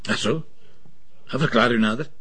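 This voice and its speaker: An actor playing a blunt, sardonic police commissioner with a Flemish accent in a naturalistic TV drama. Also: male voice, mid-range voice, moderate pace, fairly bright tone, breathy edge. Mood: probing, dry.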